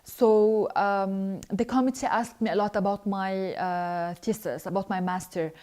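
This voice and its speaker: Young woman, alumna hosting a live Q&A. Mellow, relaxed voice, earnest, halting with fillers. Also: medium pitch. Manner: earnest, hesitant, frequent filler pauses. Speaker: scholarship alumna answering viewer questions. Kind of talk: live online Q&A, reading questions then answering.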